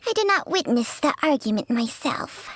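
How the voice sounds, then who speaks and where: A woman's voice: high-pitched, big pitch swings, normal speed, a bit bright, a little hiss or hum. A woman voicing a proper, knowing snake companion in a video game, speaking in precise, formal phrases. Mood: matter-of-fact.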